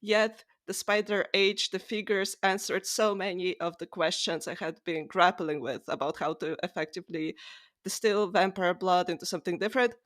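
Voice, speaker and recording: A woman's voice: on the low side, normal speed, a bit bright. A woman co-hosting a podcast, talking through books in a casual, opinionated way. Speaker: podcast co-host. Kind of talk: casual book discussion.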